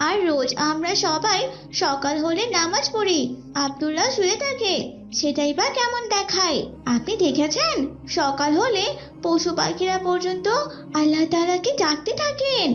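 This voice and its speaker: A woman's voice: very high-pitched, expressive, normal speed, faint music behind. A woman playing an earnest, polite young daughter who preaches piously in a moral cartoon. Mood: earnest, complaining. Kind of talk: dubbed Islamic moral cartoon dialogue. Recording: dull, lo-fi sound.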